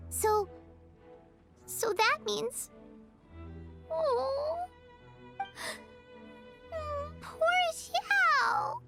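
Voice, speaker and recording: A woman voicing a small, excitable, childlike fairy companion in an anime video game, cute, eager and exclamatory. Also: extremely high pitch, extremely slow, gravelly, long pauses, full character voice. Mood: surprised, sympathetic. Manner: speaks of herself in third person, exclamatory. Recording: music behind the voice.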